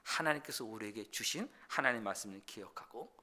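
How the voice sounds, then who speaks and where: Male pastor mid-sermon; very bright, sharp tone; earnest, moves between loud pleading and calm teaching. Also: fairly low pitch, brisk. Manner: earnest preaching that swings from loud, pleading passages to quiet explanation. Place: church sanctuary.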